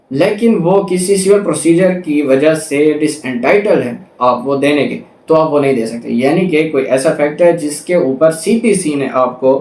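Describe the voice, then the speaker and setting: A man's voice: a little high, a little mellow. A Pakistani man giving an explanatory law lecture, reading formal text aloud and then methodically rephrasing it, mixing in English terms. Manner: methodical, repeats and rephrases points, switches into English legal terms. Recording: echoey room, distant microphone.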